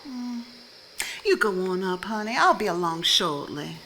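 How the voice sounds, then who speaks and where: A tender, wistful 1950s wife in a ghost drama on stage. Her bright voice rises and falls widely, with faint noise behind it. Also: medium pitch, very animated, a little slow, exaggerated voice. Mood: affectionate. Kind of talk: live stage play acting.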